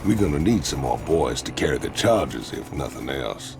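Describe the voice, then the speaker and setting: A gravelly, deep-voiced mercenary drawls his lines slowly, cocky and profane. A dramatic game score plays behind him. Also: big pitch swings, full character voice. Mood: gruff. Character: gruff burly male mercenary, cocky and profane. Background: music score.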